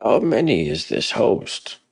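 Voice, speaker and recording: A man playing an anxious, defeatist Norse guardsman in an audio drama, in a high-strung, pleading manner. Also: sing-song, slow, creaky, no room sound, exaggerated voice. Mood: worried.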